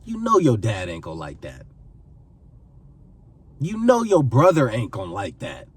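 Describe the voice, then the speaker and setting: A man's voice: medium pitch, huge pitch swings, unhurried, slightly rough, recorded from a distance. A man in his twenties giving earnest advice to camera, pleading and repeating phrases for emphasis. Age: 20s. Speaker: vlogger giving life advice.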